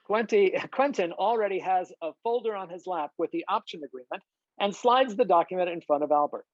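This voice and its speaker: A woman's voice: on the low side, a little fast, a little mellow, no echo. A woman reading stage directions as scripted narration at a table read, on slightly dull video-call audio.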